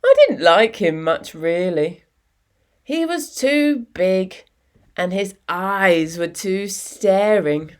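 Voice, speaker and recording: A woman's voice: medium pitch, lively intonation, slow pace, clear, resonant tone, pitched below the performer's own voice. A woman voicing a kind, matter-of-fact young big sister in a storybook, speaking plainly and reassuringly. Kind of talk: storybook dialogue.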